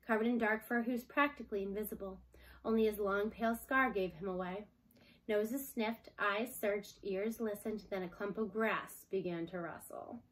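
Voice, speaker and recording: Higher-voiced woman reading a storybook. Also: poor audio. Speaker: storybook reader. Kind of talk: expressive children's book read-aloud.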